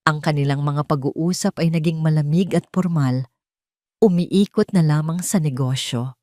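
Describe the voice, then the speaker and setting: A woman reading a scripted story as narrator, with a measured, dramatic delivery. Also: low voice, mellow, no echo, studio quality.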